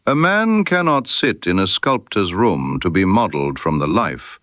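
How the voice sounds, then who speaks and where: Low-voiced man narrating a mystery story. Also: sing-song, moderate pace, no echo, lo-fi sound. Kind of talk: scripted first-person mystery story narration.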